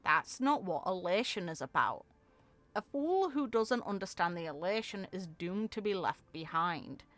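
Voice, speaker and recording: A woman's voice: lowish voice, expressive, unhurried, fairly bright tone. A woman voicing a mischievous, showy young female streamer from a game story, theatrical and teasing. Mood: mocking. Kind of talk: game lore character read-aloud.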